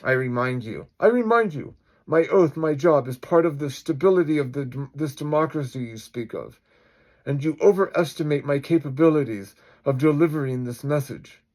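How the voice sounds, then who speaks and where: A man's voice: a little high, lively intonation, moderate pace, a little mellow, voice pushed up. Man performing a male police chief, stern, duty-bound, formal, emphatic, story retelling. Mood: insistent.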